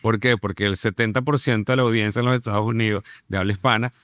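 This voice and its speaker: A man's voice: lowish voice, fairly even intonation, fast, a bit bright, no room sound, clean studio sound. Male interviewer with short reactive prompts. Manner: brief reactive interjections and questions. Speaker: talk show host. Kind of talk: casual interview conversation.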